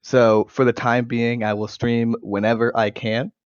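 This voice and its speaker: A man's voice: mid-range voice, little pitch movement, unhurried, smooth, no echo, dull audio. A man in his twenties with an American accent, chatting casually as a gaming podcast co-host through a cheap gaming microphone. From United States. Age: 20s. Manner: occasional stutter. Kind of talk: casual chat. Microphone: low-quality gaming microphone.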